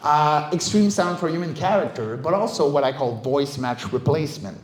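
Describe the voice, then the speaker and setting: A man's voice: on the high side, animated, moderate pace. Middle-aged male speaker, conversational and emphatic conference delivery, roomy live sound. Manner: conversational, emphatic, often checks with listeners. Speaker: guest speaker, vocal stunt performer and director. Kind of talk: casual conference talk. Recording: roomy, echoey live talk recording.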